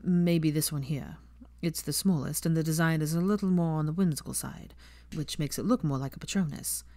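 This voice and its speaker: A woman's voice: sits low, brisk, mellow, no room sound. A woman voicing a smirking, dryly polite young man in a story reading. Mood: teasing.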